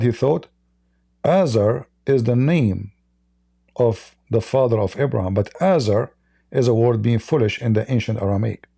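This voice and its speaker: Gravelly, combative male debate host. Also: mid-range voice, warm tone, no echo, studio quality. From Middle East. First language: Arabic. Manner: combative, mocking. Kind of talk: live call-in debate.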